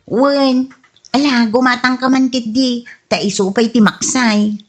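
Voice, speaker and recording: Woman acting husky, high-voiced, touchy snack vendor. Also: fairly even intonation, unhurried. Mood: coaxing. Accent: Ilocano. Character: touchy reformed woman peddling afternoon snacks, easily offended. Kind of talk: Ilocano radio comedy drama acting.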